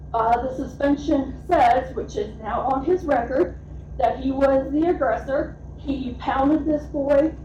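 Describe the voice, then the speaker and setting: A woman speaking as a parent at a public comment, earnest and halting, with frequent fillers. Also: high-pitched, darkish tone, a little hiss or hum. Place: school board meeting room. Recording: echoey meeting room from far off.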